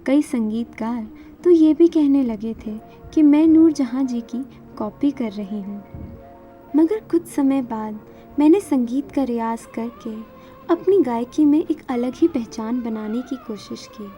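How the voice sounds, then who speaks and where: A woman's voice: fairly high pitch, measured pace, highs cut off, soft background music. A woman whose native language is Marathi, narrating her own life story from a script as a singer.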